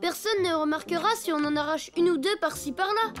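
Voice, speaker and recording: An excitable young elephant child for a cartoon. The voice is extremely high, cheeky and childlike. Music plays behind it. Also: female voice, brisk. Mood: scheming, cheeky. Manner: childlike, expressive. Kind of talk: French cartoon dubbing.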